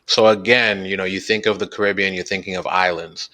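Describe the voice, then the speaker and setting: An American man, a guest musician, talking about his background in a relaxed, casual interview with lots of fillers. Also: low-pitched, a little fast. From United States. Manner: relaxed, explains at length with many fillers.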